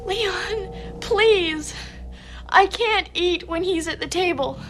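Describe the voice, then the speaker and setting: A woman's voice: very high voice, takes it slowly, music in the background. An actress playing a weary, exasperated woman in a film drama, plain-spoken and firm under pressure. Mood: subdued, reluctant.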